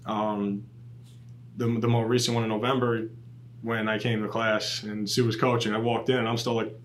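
A man's voice: lowish voice, normal speed, a little hiss or hum, low-quality recording. A man in his late twenties, a police officer guest, chatting casually on a podcast and acting out quotes as he tells stories. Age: 20s. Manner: animated storyteller, acts out quotes.

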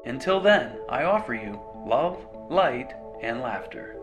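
A man's voice: medium pitch, big pitch swings, unhurried. A man reading a scripted radio advertisement for his own services. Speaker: advertiser offering spiritual consultations. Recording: music clearly behind the voice. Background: music.

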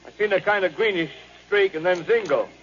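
An actor playing a talkative, unruffled farmer with a rural American accent in a 1930s radio drama, rambling in a folksy way. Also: male voice, high-pitched, brisk, a little hiss or hum, lightly acted. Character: folksy, slow-witted, talkative male farmer. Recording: dull lo-fi 1930s radio broadcast.

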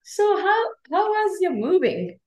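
A woman's voice: extremely high pitch, very animated, a little slow, echoey room. A woman from Japan hosting a parenting podcast in casual chat, asking short questions and giving brief encouraging replies. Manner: short encouraging responses, simple phrasing. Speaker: podcast host.

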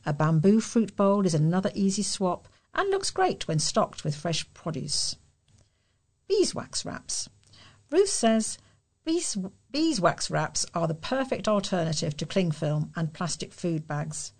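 A British woman reads a printed article aloud as a volunteer reader. Her voice sits a little lower than most women's, and the delivery is plainly scripted. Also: very animated, unhurried, a little mellow. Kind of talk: scripted reading of lifestyle article.

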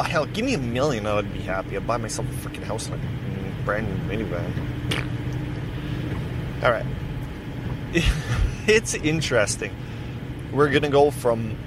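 A man hosting a casual solo podcast, rambling and correcting himself as he chats. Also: medium pitch. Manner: rambling, self-correcting, chatty. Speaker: podcast host. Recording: steady background noise.

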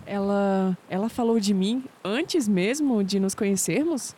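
Uma atriz brasileira interpretando uma jovem cética, curiosa e sarcástica numa áudio série, em tom questionador. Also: female voice, mid-range voice, unhurried, warm tone, natural voice. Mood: curious. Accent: Brazilian. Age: young adult. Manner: wry, questioning. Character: skeptical, sharp-witted young woman, curious and sarcastic. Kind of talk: audio drama dialogue.

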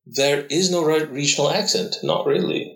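A man's voice: a little high, very animated, normal speed. A man in casual conversation on a podcast, explaining things at length with many fillers. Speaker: podcast co-host. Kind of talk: casual chat. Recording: echoey room.

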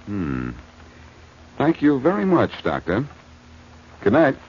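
An actor playing a smooth, wisecracking amateur detective with an American accent in a 1940s-style radio drama, brisk and flirtatious. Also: male voice, mid-range voice, huge pitch swings, full character voice. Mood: businesslike. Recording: muffled, noisy old radio recording.

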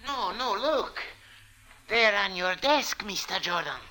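An actor playing an agitated, deferential street beggar in a 1940s radio drama. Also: male voice, sits high, lively intonation, takes it slowly, pushed and bright, faint music behind, full character voice, low-quality recording. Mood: excited. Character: excitable, servile male street beggar.

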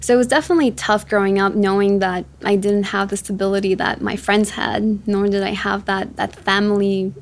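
A woman's voice: medium pitch, little pitch movement, a little fast, few pauses, a little hiss or hum. A woman in her twenties from Ecuador who grew up in Chicago, telling personal stories as an interview guest. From born in Ecuador, raised in Chicago from age seven. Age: 20s. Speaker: interview guest, ocean activist.

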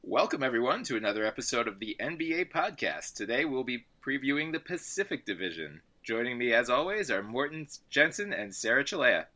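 A man's voice: medium pitch, sing-song, a little fast, few pauses, sharp tone, soft background music. A man hosting a basketball podcast, joking and laughing, getting over a cold and a little froggy. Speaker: podcast host. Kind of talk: casual sports podcast chat.

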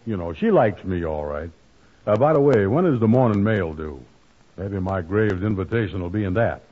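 A man playing a pompous, slow-witted, boastful fellow in a 1940s radio comedy, with blustering, ungrammatical talk. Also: low-pitched, animated, breathy edge. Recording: muffled 1940s radio recording.

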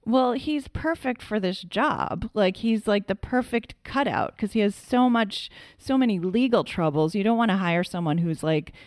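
A woman's voice: mid-range voice, animated, moderate pace, hardly any pauses, no room sound, clean studio sound. A woman co-hosting a podcast, chatting casually about political news in an emphatic, incredulous, colloquial way. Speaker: podcast co-host.

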